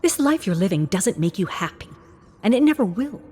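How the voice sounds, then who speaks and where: A woman's voice: mid-range voice, sing-song, brisk, warm tone, husky, pitched above the performer's own voice. A woman voicing a proud middle-aged father, stern and scornful, in a narrated game story. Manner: accusing, scornful.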